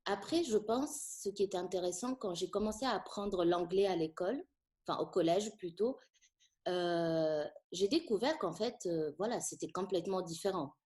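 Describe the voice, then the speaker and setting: A middle-aged woman from Tunisia whose first language is Arabic, a French teacher speaking thoughtfully in a conversational interview, pausing often with fillers. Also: fairly low pitch, darkish tone. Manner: thoughtful, hesitant with frequent fillers.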